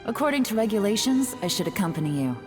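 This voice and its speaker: A woman's voice: mid-range voice, sing-song, quick pace, music in the background, natural voice. Woman playing cool, enigmatic secret agent. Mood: cool, knowing. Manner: composed, coy, controlled. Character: cool, enigmatic adult female undercover agent, teasing, authoritative. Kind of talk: English video game dub.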